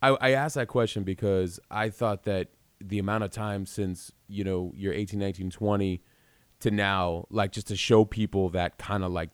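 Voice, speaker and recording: A man's voice: deep voice, smooth, dry recording. A man hosting a casual interview, reacting enthusiastically and rambling through his follow-up points. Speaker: host.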